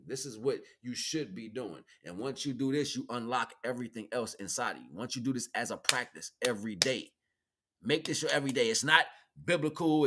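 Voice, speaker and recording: Bright-voiced American man, streetwise and emphatic. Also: mid-range voice. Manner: streetwise, slangy, emphatic, repeats points. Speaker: streamer giving spiritual teachings. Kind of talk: casual off-the-cuff monologue.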